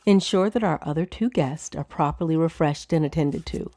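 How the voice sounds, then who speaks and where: A woman playing a brisk, businesslike wizard who owns a tower, in a fantasy audio drama. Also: low-pitched, warm tone, no room sound. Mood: brisk, matter-of-fact.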